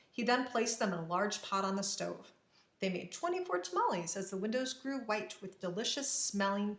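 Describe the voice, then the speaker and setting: Expressive woman storyteller in an echoey room. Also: medium pitch. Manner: dramatic, careful phrasing. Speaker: storybook reader. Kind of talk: expressive children's read-aloud narration.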